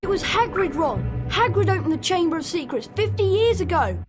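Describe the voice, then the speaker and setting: A high, animated voice as an earnest young English schoolboy in a wizard video game. The delivery swings up and down, with music clearly playing underneath. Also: male voice, brisk. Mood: alarmed. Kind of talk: video game cutscene voice acting.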